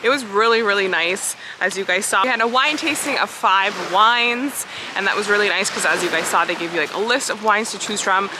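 A woman's voice: mid-range voice, a little fast, background noise. A young woman in her twenties, a bubbly, chatty travel vlogger talking to a handheld camera outdoors by a foggy lakeshore. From Canada. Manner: bubbly, chatty, lots of "really nice". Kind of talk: casual vlog talk to camera. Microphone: handheld camera at arm's length.

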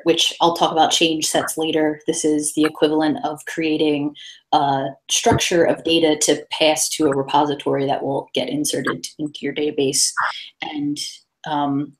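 A woman's voice: low-pitched, rough recording. A woman presenting a casual coding talk at a meetup over a video call, talking loosely with many fillers and restarts. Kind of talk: casual tech talk with slides.

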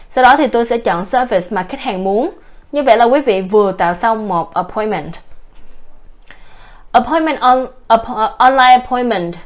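A woman giving a formal, step-by-step voice-over walkthrough of salon booking software, politely addressing her viewers. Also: fairly high pitch. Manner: explains step by step, with occasional restarts. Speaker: software demonstrator. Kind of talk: screen-recorded software tutorial. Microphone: voice-over narration over a screen recording.

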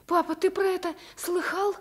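A woman's voice: very high-pitched, little pitch movement, moderate pace. An actress playing an earnest, curious nine-year-old girl in a Soviet radio play, with a childlike manner.

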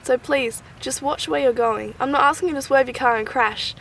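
A woman's voice: sits high, moderate pace, a bit bright, slight background noise. A young Australian woman giving casual, enthusiastic wildlife commentary in a gushing, chatty way with informal slang. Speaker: wildlife vlogger.

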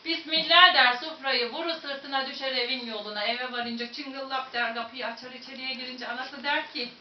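A woman's voice: sits high, steady tone, moderate pace. A woman with a Central Anatolian accent telling a traditional folk tale to a live audience in a rhythmic, rhymed patter, talking directly to her listeners. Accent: Central Anatolian Turkish. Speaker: folk storyteller. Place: museum site with onlookers and photographers. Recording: distant, echoey, dull recording with faint noise.

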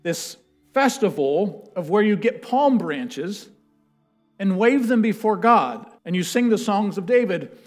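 A man in his thirties preaching from a church stage, telling history with lively, building emphasis. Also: high-pitched, measured pace, faint music behind. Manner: animated storytelling, building to loud chanted quotes. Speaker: pastor. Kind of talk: sermon. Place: church stage with a lectern, large indoor room.

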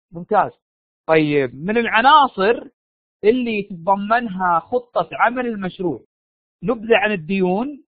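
High-pitched Saudi man lecturing, tired voice. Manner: informal, repeats phrases, prods listeners for answers. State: tired, strained voice from losing it. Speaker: university lecturer. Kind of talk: live online lecture, questioning students. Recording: dull lo-fi online audio, roomy echo.

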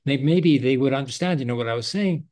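An older man, in his sixties or beyond, talking politics in a halting, conversational way. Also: mid-range voice, darkish tone. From Canada. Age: 60s or older. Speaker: forum host. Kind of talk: casual political discussion. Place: indoor room, video call.

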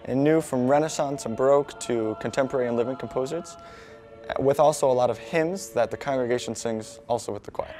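A man's voice: mid-range voice, fairly quick, warm tone, soft background music. Earnest young man with choir behind. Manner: earnest, heartfelt. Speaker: choir member and theology student. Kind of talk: interview. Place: wood-paneled office.

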